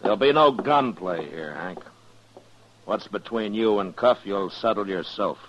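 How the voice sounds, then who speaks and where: An actor playing a calm, authoritative Old West marshal, measured and firm, with an American accent in a 1950s radio drama. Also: male voice, on the low side. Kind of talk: 1950s radio western acting. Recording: dull, noisy vintage radio recording.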